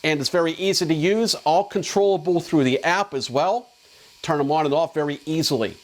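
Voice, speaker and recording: A man's voice: fairly high pitch, fairly quick. A man presenting drone equipment in a casual, conversational, explanatory product demo.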